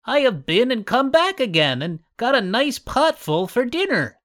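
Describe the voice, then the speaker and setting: A man voicing a small, clever, stubborn male pig in a squeaky, sing-song way in a storybook reading. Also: very animated, normal speed, fairly bright tone, heavily acted. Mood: cheeky.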